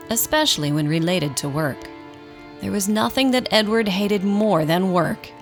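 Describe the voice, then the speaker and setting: A woman's voice: lowish voice, a bit bright. A woman reading scripted storybook narration as the narrator of a radio drama.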